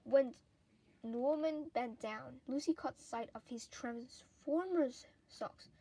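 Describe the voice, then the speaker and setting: A woman with a high voice reads a children's story aloud. She takes her time over the words, very slowly and deliberately, giving the narration an expressive shape as a storyteller would. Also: slightly rough, low-quality recording.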